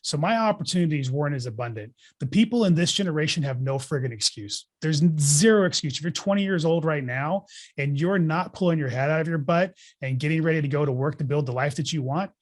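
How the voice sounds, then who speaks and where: A man's voice: fairly high pitch, quick pace. A man in his forties talking as a guest in an interview, blunt and emphatic, giving motivational advice with casual swearing. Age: 40s. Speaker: podcast guest, real estate investor.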